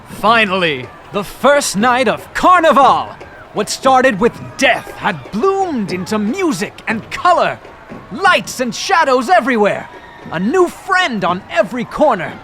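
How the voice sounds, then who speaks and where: Exuberant high-voiced young aristocrat. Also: male voice, bright, forceful tone, a little hiss or hum, heavily acted. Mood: exhilarated. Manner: theatrical, effusive. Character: young French aristocrat, exuberant, romantic storyteller eager for revelry. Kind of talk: audio drama acting and narration.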